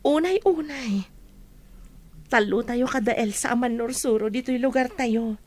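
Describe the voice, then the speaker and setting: A woman acts out a cheerful village teacher and wife in an Ilocano radio drama. Her voice is high, and she comes across as devoted to her work and family. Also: measured pace, a little mellow. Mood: happy. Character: gladdened, devoted young village schoolteacher and wife.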